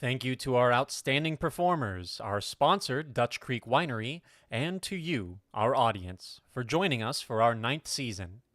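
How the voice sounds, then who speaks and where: Show announcer: man with medium-pitched voice, scripted delivery, close and dry sound. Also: animated, a little slow, hardly any pauses, fairly bright tone, dry recording.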